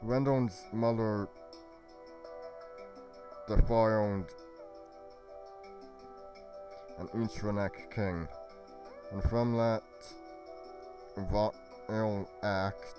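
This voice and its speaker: A man playing an earnest young fantasy adventurer in an amateur roleplay, with a solemn, deliberate delivery. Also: fairly low pitch, steady tone, music in the background. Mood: solemn explaining.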